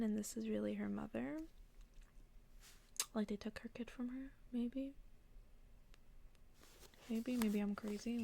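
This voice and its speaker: A woman softly reading a bedtime story in ASMR style, partly whispered, with casual asides. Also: fairly high pitch, gravelly, slight background noise. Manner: hushed, drifting into casual asides. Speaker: host reading a book aloud on an ASMR channel.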